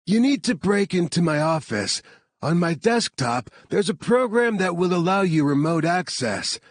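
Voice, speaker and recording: A man's voice: sits high, lively intonation, normal speed, no room sound, clean studio sound, voice pushed up. A man voicing a frustrated young tech specialist in an audiobook. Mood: frustrated, urgent.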